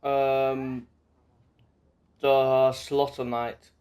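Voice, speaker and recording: A man's voice: medium pitch, level tone, extremely slow, a little mellow. A man giving casual playthrough commentary, musing aloud and reading game dialogue in a rambling way. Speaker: game streamer. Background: faint game music.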